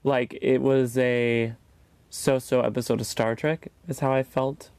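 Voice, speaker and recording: A man's voice: medium pitch, level tone, a little slow, a little mellow, smooth. A man hosting a podcast in casual chat, with jokey, playful asides. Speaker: podcast host.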